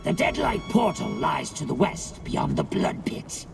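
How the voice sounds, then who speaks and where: A woman voicing a servile creature minion in a fantasy video game, theatrical and creaky. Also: low-pitched, huge pitch swings, measured pace, exaggerated voice. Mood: eager. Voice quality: creaky, gravelly. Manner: theatrical, addresses a master. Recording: game music, somewhat distant.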